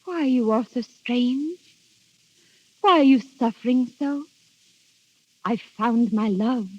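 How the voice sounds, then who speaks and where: A woman's voice: a little high, takes it slowly, darkish tone, no echo. An actress playing a fearless young woman in love, earnest and theatrical in old radio drama style. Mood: rapturous, insistent. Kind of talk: 1930s radio drama acting. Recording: dull old radio broadcast with music.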